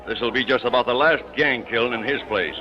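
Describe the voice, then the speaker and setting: An actor playing a tough, sardonic police captain, brusque and commanding, in an old-time radio crime drama. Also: male voice, mid-range voice, fairly quick, background noise, natural voice. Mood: barking orders. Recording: muffled vintage radio recording.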